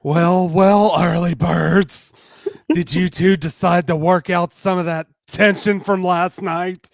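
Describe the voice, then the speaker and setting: A man's voice: sits high, fairly even intonation, slow, creaky, dry recording, studio quality, voice pushed up, full character voice. A man voicing a brash, flirty woman with a teasing, wicked drawl in a dramatic romance reading. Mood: sassy innuendo.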